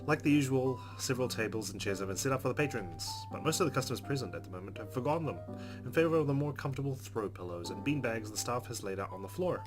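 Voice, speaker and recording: A man hosting a let's play, reading game narration aloud and adding casual commentary. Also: mid-range voice. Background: faint game music.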